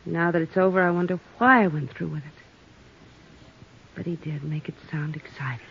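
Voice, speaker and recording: Weary, rueful young widow. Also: female voice, low voice, moderate pace, gravelly. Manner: quiet, reflective, sad. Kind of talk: 1950s radio acting. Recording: dull old radio recording with faint hiss.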